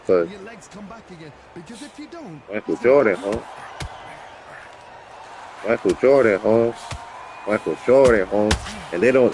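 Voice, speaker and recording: A man voicing a laid-back streetwise homeboy who calls everyone Holmes and murmurs quiet threats in a low, slow voice. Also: natural voice. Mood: torn.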